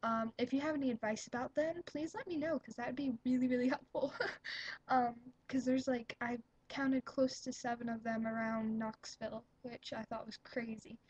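A young woman with a slightly high, breathy voice chats to her webcam in an upbeat, rambling way. The sound is dry and close, with no room around it. She drifts from one thought to the next, full of fillers and little laughs. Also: little pitch movement, moderate pace, darkish tone, no room sound, muffled recording. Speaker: vlogger. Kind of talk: casual webcam chat.

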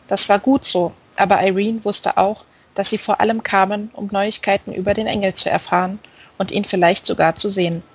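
A woman's voice: mid-range voice, fairly even intonation, fairly quick, husky. A woman reading a novel aloud as scripted audiobook narration. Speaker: audiobook reader. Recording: slightly dull, band-limited sound.

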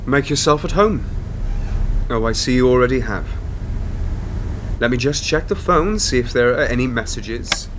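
A man's voice: medium pitch, animated, normal speed, long pauses, noisy background, natural voice. An actor in a radio play voicing a lonely, bitter man with a wry, weary, questioning manner. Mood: wry, resentful. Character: lonely, bitter man used as a covert mouthpiece.

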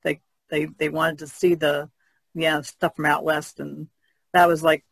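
A man's voice: a little high, flat delivery, a little slow, gravelly, fairly bright tone, no room sound. A man taking part in a podcast as a panelist, chatting casually and explaining things in plain words. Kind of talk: casual discussion.

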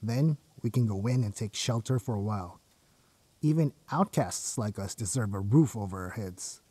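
Man playing a husky, ruthless rebel leader. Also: on the low side, animated, normal speed, warm tone, no room sound. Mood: grim, commanding. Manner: commanding, intense. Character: hardened male rebel gang leader, fiercely loyal and ruthless. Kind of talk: audio drama acting. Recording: music under the voice.

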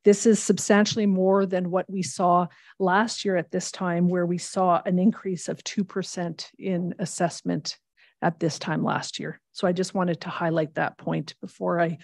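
Woman finance presenter, low and mellow, measured and explanatory, dry table-mic sound. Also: flat delivery, gravelly, no echo. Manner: measured, explanatory, reads long figures. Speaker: municipal finance staff presenting a budget. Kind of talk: formal budget presentation. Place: large council chamber.

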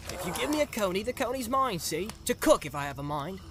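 A man's voice: high-pitched, big pitch swings, quick pace, pitched above the performer's own voice. A man voicing a plain-spoken, stubborn young hobbit servant in an audiobook. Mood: firm, scolding. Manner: folksy, scolding, practical. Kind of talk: dramatised audiobook character voice. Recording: clear outdoor ambience noise behind.

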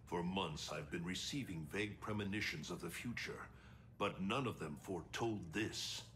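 A man's voice: low-pitched, normal speed, fairly bright tone, a little hiss or hum. A man playing a solemn, ancient thunder god in a video game, speaking in a grave, formal, stately way. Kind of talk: dramatic video game cutscene acting.

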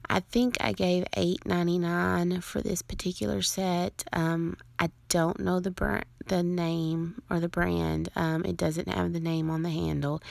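A woman narrating her card-making process as a voice-over, in a relaxed, rambling way with frequent ums. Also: lowish voice, vocal fry, no echo.

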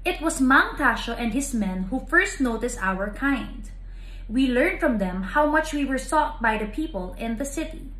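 A gentle, very old talking tree voiced by a woman reader from a storybook. It speaks politely and wistfully, with a lilting range, in an echoey room. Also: sits high, expressive, a little slow. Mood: wistful, sad. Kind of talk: children's storybook reading.

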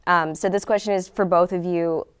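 American woman host, clear, slightly low voice, casual interviewing. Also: steady tone, normal speed. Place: indoor TV studio at a desk.

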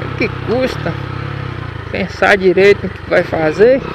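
A man's voice: sits high, unhurried, vocal fry, highs cut off. A young man with a Minas Gerais Brazilian accent riding a motorcycle through city streets, talking casually to his viewers in slang and swearing at careless drivers. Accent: Brazilian Portuguese, Minas Gerais. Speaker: motovlogger. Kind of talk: casual running commentary while riding. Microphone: helmet or action camera mic. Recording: loud road and engine noise.

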